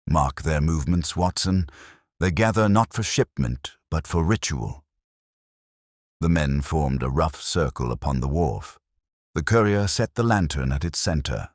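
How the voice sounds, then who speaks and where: A man narrating a dramatic mystery story in a grave, measured, formal manner. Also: rumbling low, no echo, clean studio sound. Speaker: audiobook narrator.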